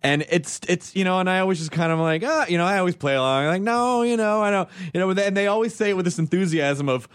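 A man hosting a podcast in casual chat, joking with quick asides and casual swearing. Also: high-pitched, fairly bright tone, clean tone, no echo. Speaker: podcast host.

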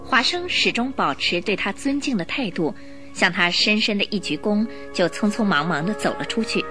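Lively woman narrator over music. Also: mid-range voice, normal speed. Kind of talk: dramatic scripted radio narration.

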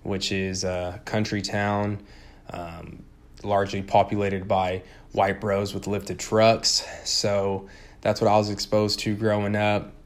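A young man talking casually and haltingly on his solo podcast, using informal slang. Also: fairly low pitch, level tone. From California, born in Puerto Rico. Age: young adult. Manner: informal, slangy, hesitant with frequent pauses. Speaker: podcast host.

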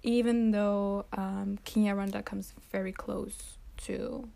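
A young woman from Rwanda whose first language is French, chatting casually with a friend in a relaxed, soft-spoken way. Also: medium pitch, slow pace, vocal fry. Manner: relaxed, soft-spoken, lots of encouraging "mm-hmm". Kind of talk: casual chat between friends.

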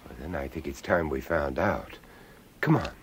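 A man playing a shrewd, suspicious investigator in a radio drama, speaking in a brisk, wry, urbane way. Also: extremely low pitch, lively intonation, background noise. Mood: decisive.

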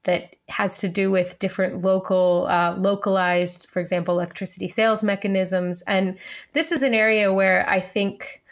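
Female podcast co-host, medium pitch, conversational and analytical, working through ideas aloud. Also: fairly even intonation, moderate pace.

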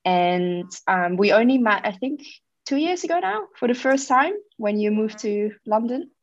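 A woman from the Netherlands, a guest chatting casually in an interview and pausing often on "um". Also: a little high, expressive, dry recording, dull audio. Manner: thoughtful, with frequent "um" pauses. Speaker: guest, company founder.

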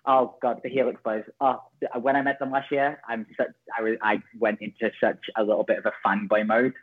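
A man's voice: mid-range voice, little pitch movement, a little fast. A man organizing an awards night, gushing and playful as he talks with the host. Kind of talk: interview chat. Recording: tinny phone line.

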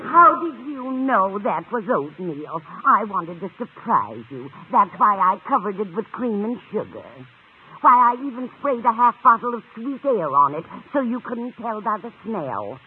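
A woman's voice: medium pitch, very animated, unhurried, breathy edge, full character voice. An actress playing a sweet, absent-minded older landlady in an old-time radio comedy, fluttery and scatterbrained in manner. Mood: sweetly apologetic. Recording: narrow, hissy old radio broadcast.

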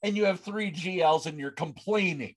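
A man's voice: sits high, moderate pace. A man hosting a casual gaming podcast, putting questions to his guest in a conversational style. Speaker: podcast host.

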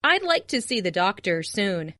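A woman reading short phrases for language learners, repeating each one as a clear model. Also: medium pitch, big pitch swings, normal speed, bright, forceful tone, no echo, clean studio sound. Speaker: language lesson speaker.

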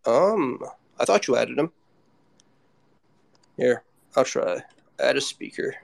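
A man's voice: mid-range voice, expressive, slow, gravelly. A man co-hosting a casual live chat show, talking informally and asking questions. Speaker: co-host.

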